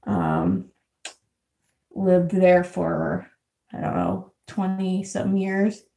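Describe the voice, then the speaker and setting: A woman in her twenties from San Diego, chatting casually with viewers as a vlogger, laughing often and filling pauses with "um" and "like". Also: fairly low pitch, sing-song, creaky, warm tone. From San Diego, California. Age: 20s. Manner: chatty, frequent laughs and fillers. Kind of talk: casual live chat reading comments. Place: house in Arizona.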